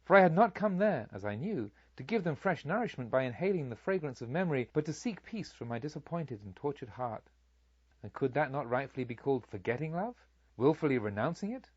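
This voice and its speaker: A man reading a literary story aloud as an audiobook narrator, in a measured, formal storytelling manner. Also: medium pitch, breathy edge, no room sound, muffled recording.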